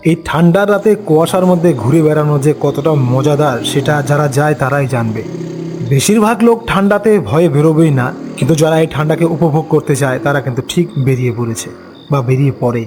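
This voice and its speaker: A man narrating an animated ghost story as a storyteller, unhurried and explanatory. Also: on the high side, over music.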